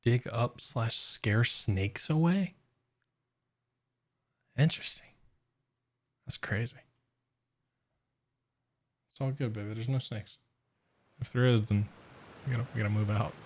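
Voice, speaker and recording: A man's voice: medium pitch, measured pace, long pauses. A man streaming a game live with casual, rambling, jokey commentary in a laid-back way.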